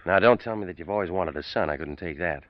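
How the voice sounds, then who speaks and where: A man's voice: low-pitched, fast talker, no room sound, lightly acted. An actor playing a blunt, laconic young American working man, skeptical and wary, in an old-time radio drama. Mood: suspicious. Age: young adult. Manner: laconic, plain-spoken, skeptical. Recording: dull, muffled vintage radio recording.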